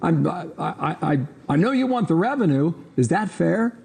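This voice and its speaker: Gravelly, husky-voiced male anchor. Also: on the high side, expressive, moderate pace, a little mellow. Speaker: news anchor. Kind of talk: televised interview.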